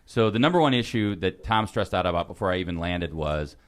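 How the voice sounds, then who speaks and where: A man's voice: deep voice, animated, fairly quick. A man co-hosting a podcast in a studio, tossing in dry quips and friendly asides. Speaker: podcast co-host. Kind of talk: casual studio banter.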